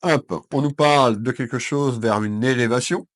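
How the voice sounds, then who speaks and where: Man, psychic card reader, stuffy cold, calm and unhurried, mid-pitched voice. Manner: calm, addresses viewers as "mes belles âmes", with frequent fillers. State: has a head cold. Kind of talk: weekend tarot reading to camera. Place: his consulting office with bay windows.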